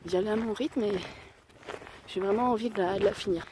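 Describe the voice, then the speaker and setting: A young woman with a hoarse voice from a cold, slightly out of breath, chatting casually to her camera while hiking a mountain trail outdoors. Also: mid-range voice, a little fast, background noise. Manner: chatty and self-deprecating, thinking aloud. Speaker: hiker vlogging a mountain trail. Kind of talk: casual spoken travel vlog.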